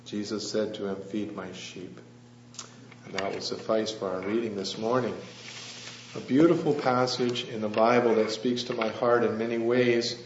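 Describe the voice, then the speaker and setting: A soft-spoken missionary preacher giving an earnest sermon, pausing often with "uh". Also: male voice, mid-range voice. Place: church hall. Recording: roomy lo-fi church recording with faint hum.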